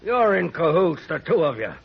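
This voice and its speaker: An actor playing a gruff, bad-tempered older rancher father with a gravelly, rural American voice, barking orders in 1950s radio western style. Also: fairly high pitch, very animated, normal speed, full character voice. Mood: angry. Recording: muffled old radio recording.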